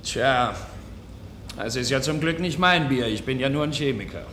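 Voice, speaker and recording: A man's voice: mid-range voice, expressive, normal speed, a bit bright, lightly acted. A man acting a flippant, teasing laboratory chemist in a German radio drama. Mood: wry. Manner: wry, teasing. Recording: echoing room, faint noise.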